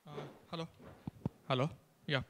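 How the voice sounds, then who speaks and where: A man's voice: normal speed, a bit bright, a little hiss or hum. A man making an insistent, spontaneous comment as a forum participant, often repeating himself. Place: lecture hall.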